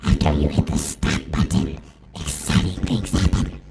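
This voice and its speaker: A man in his forties rambling through a deadpan, profane improvised comedy monologue, his voice run through a pitch-shifting voice transformer. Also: moderate pace, creaky, very noisy. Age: 40s. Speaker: comedic host demonstrating a voice-effect device.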